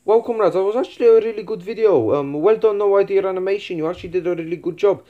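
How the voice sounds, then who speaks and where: Fast-talking sweary reaction guy. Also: sits high, lo-fi sound. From Poland. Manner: jokey mock-communist persona, swears a lot. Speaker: reaction video host. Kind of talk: casual reaction commentary. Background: lawn mower noise from outside.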